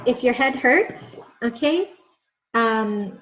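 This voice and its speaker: A young woman teaches English, speaking very slowly so every word lands. Her voice sits a little high, and she keeps checking in with her students. The recording is a bit dull and lo-fi. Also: expressive, fairly bright tone. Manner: patient, repeats words and checks with "okay?". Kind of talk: slow, clear ESL lesson explanation. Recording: slightly dull, lo-fi sound.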